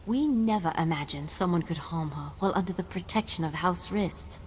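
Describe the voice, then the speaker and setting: An actress playing a composed noblewoman diplomat in a video game, speaking formally and earnestly in measured phrases. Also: fairly low pitch, slight background noise, lightly acted. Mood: formal, resigned. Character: composed, diplomatic noblewoman official negotiating calmly.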